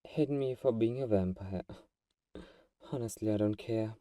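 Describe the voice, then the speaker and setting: A very mellow, dark-toned male vampire, played by a man speaks in an intimate audio drama monologue. He is teasing and possessive toward his hunter friend, with a slightly low voice. The sound is very dry, with no room around it. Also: a little slow, dry recording, far from the mic, close to an everyday voice. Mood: protective, possessive. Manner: teasing, sighs and soft chuckles.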